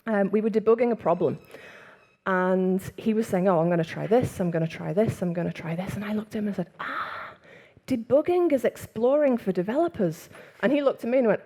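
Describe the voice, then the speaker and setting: Liverpool lady giving a conference talk, chatty, laughs a lot, talks fast when she gets going. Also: medium pitch, dark tone. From England. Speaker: conference speaker. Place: conference room with audience.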